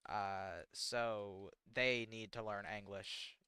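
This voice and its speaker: A man's voice: fairly low pitch, fairly even intonation, slow, pushed and bright, clear, resonant tone, no room sound, clean studio sound. A teenage American man chatting casually on a livestream, rambling and self-deprecating with lots of fillers. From United States. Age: teens. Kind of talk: casual livestream chat answering chat questions.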